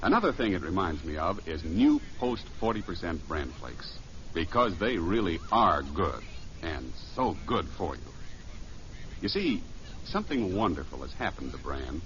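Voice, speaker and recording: A man's voice: sits low, sing-song, normal speed, a bit bright. A man reading a 1950s radio commercial in a folksy, persuasive announcer style. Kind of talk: 1950s scripted radio advertising. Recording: muffled old radio recording, faint hiss.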